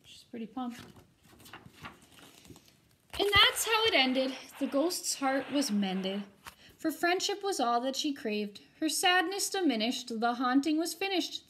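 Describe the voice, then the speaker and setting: A woman's voice: high voice, very animated, a little slow, a bit bright. A woman teacher reading a picture book aloud to children expressively, with chatty, informal asides.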